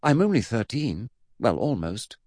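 A man's voice: medium pitch, very animated, a little slow, darkish tone, dry recording, voice pushed up. A patient boy of about twelve acted out by a man reading a storybook speaks in a raised, close and dry voice. He is earnest and polite, a little hesitant, and sounds puzzled by the grown-up world. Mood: patiently protesting. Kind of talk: comic children's audiobook character voice.

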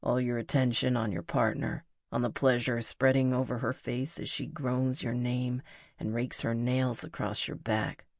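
A woman voicing a cocky, teasing young male rock musician in an audiobook, speaking in an intimate, unhurried way. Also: rumbling low, little pitch movement, creaky, a little mellow, no echo, studio quality, exaggerated voice. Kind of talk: audiobook character dialogue.

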